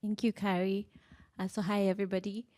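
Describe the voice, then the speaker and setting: A woman from western Kenya with a Kenyan accent, telling a personal story as a panelist in a measured way with pauses. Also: medium pitch, level tone, darkish tone.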